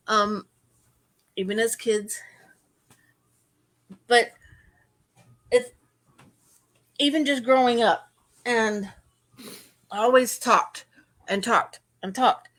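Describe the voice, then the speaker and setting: A woman's voice: on the high side, fairly bright tone. A middle-aged woman co-hosting a podcast, talking in earnest, run-on explanations in casual chat. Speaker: podcast co-host.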